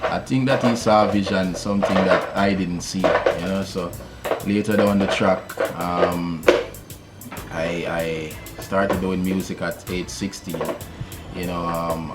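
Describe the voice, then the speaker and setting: A Jamaican man, a musician, telling his story in a relaxed interview, with many fillers and easy laughs. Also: low-pitched, a little slow, loud music behind the voice. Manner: laid-back, many fillers, laughs easily. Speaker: musician guest.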